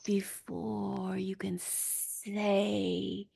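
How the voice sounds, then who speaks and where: Whispering storybook python voiced by a woman, slow and drawn out, fussy old-fashioned words, quiet, dry close sound. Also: mid-range voice, little pitch movement, vocal fry, breathy edge, dry recording, exaggerated voice. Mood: urgent. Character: old-fashioned, wordy male python snake.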